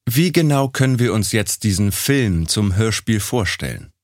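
A man narrates a feature as its host. His voice is fairly low. He reads scripted descriptive passages. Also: animated, normal speed, no room sound, clean studio sound.

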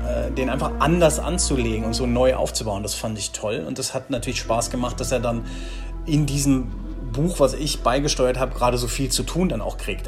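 Enthusiastic man chatting over music. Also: medium pitch. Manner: enthusiastic, searching for words. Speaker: audio drama writer being interviewed. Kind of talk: casual interview talk. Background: music bed.

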